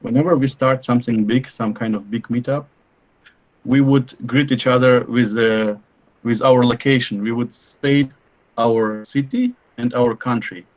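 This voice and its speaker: A man casually hosting a live online meetup, speaking haltingly as he thinks aloud. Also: mid-range voice, steady tone, dull audio. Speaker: host of an online study event.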